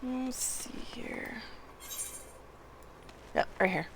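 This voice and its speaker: A woman game streamer giving a casual live walkthrough, low-key and half murmuring to herself as she plays. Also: huge pitch swings, drawn-out words, gravelly, slight background noise.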